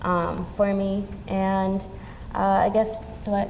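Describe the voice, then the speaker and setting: A woman's voice: mid-range voice, level tone, slow, a little mellow. A young woman from Peru, a student panelist, answering hesitantly with many fillers on stage. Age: young adult. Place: event stage in a large hall. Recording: reverberant hall with faint noise.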